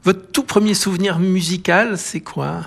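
Male interviewer, husky raspy voice, brief wry questions. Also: high-pitched, steady tone, unhurried. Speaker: radio interviewer.

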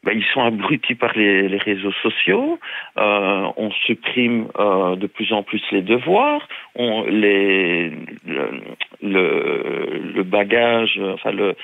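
A man in his forties, calling in to a radio show by phone, talking haltingly with many restarts. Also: on the low side, very animated, vocal fry, no echo. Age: 40s. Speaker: caller. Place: in Spain. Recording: tinny phone line.

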